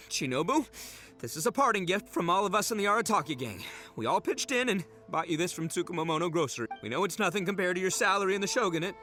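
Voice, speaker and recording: Brash, piercing young gang boss. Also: male voice, high-pitched, very animated, fast, bright, forceful tone, soft background music, exaggerated voice. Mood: solemn. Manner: boisterous, theatrical. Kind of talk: video game voice acting.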